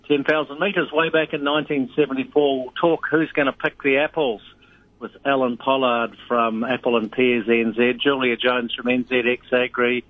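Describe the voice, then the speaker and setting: Middle-aged man, New Zealand accent, rambling and halting, tinny phone line, dry sound. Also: medium pitch, fairly even intonation, dry recording. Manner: rambling, halting with many fillers. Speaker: radio host, guest. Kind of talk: casual radio chat.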